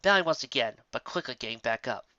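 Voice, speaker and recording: A male narrator reads a scripted fan story. His voice is bright and forward, captured close and dry with a slightly dull tone. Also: medium pitch, expressive, fast talker, no echo.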